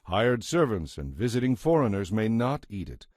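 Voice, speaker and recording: A man narrating a dramatized Bible reading in a measured, solemn, scripted manner. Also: fairly low pitch, very animated, darkish tone, dry recording, clean studio sound.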